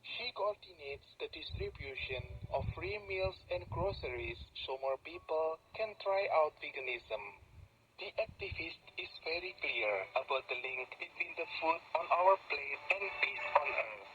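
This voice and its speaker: Higher-voiced male narrator on dull shortwave. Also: animated, a little slow, noisy background. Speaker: program host and narrator. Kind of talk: scripted documentary narration. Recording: dull, band-limited shortwave radio capture.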